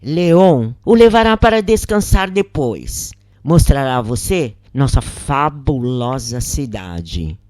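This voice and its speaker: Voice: mid-range voice, sing-song, slow, hardly any pauses, darkish tone, no room sound, clean studio sound. Narrator putting on a pompous netherworld chief. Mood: pompous, imperious. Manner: pompous, imperious. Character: vain, regal male chief of a netherworld city.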